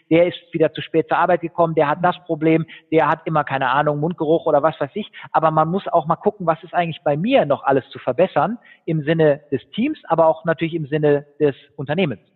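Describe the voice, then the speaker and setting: A man speaking as a guest in an interview, telling stories quickly with fillers. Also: a little high, breathy edge. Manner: fast, animated storytelling with fillers. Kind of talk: conversational interview. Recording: tinny phone-like line with long reverb.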